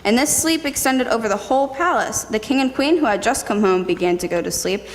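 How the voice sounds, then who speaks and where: Female narrator for staged fairy tale, slightly high voice, recorded in a ringing hall. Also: lively intonation, a little fast. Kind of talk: scripted fairy-tale narration, readers theatre. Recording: noisy hall with long reverb.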